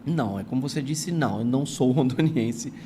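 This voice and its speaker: Earnest gravelly man from São Paulo. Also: medium pitch, brisk, mellow, slight background noise. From São Paulo, Brazil. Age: 40s. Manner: earnest, grateful, many "não é" tags. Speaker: guest, retired teacher and writer. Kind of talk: reflective storytelling in an interview.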